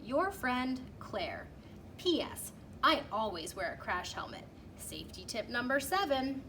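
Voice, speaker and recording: A woman's voice: on the high side, very animated, a little slow, pushed and bright, far from the mic, full character voice. A woman voicing a friendly young schoolgirl's letter in a children's storybook. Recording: clearly noisy background.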